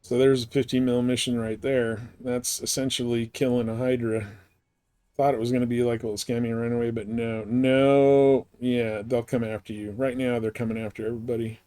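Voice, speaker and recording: A man's voice: medium pitch, flat delivery, fairly quick, dark tone, smooth. A man giving casual, rambling gameplay commentary as a live streamer, explaining things as he goes.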